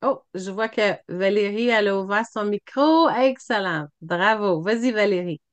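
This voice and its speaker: A woman's voice: medium pitch, normal speed, dry recording. A woman leading an online video meeting, handing the floor to each speaker and praising their answers. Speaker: meeting facilitator. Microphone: computer mic on video call.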